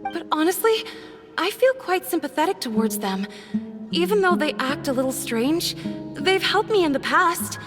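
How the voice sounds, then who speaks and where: A woman's voice: extremely high pitch, animated, moderate pace. A woman voicing a wary young village woman in a video game, hesitant and polite. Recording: long reverb. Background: music.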